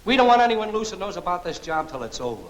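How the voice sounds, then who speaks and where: A man's voice: high-pitched, big pitch swings, quick pace. A man playing a jumpy, brusque gangster henchman in a 1940s film serial, with slangy talk, on an echoey, noisy old soundtrack. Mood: brusque, suspicious. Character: jumpy, suspicious gangster henchman, tough adult male.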